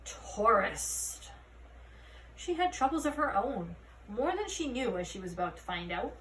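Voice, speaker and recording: Woman narrator, expressive children's-book reading, set back from the mic with faint noise. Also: fairly high pitch, unhurried, far from the mic, poor audio. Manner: expressive storytelling, acting out dialogue. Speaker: read-aloud narrator. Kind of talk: reading a children's fantasy novel aloud.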